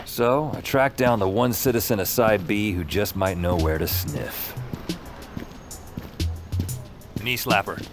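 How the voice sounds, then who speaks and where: Man playing low-voiced wry noir hero. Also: normal speed, lightly acted. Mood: wry, narrating. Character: wry male paranormal investigator hero, hardboiled narrator. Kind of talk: scripted first-person noir narration and dialogue. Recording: music behind the voice.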